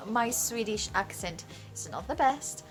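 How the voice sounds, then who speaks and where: A woman's voice: on the high side, animated, measured pace, fairly bright tone, soft background music. A young British woman vlogging casually while shopping, tired after work, giggly and talking straight to her viewers. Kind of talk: casual vlog chat. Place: large furniture store, indoors.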